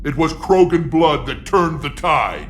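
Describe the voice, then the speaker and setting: A man voicing a proud, battle-hardened alien clan chief, gruff, blunt and gravelly, in a video game. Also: on the high side, big pitch swings, unhurried, fairly bright tone, soft background music. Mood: accusing. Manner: blunt, combative, growling. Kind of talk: cinematic video game acting.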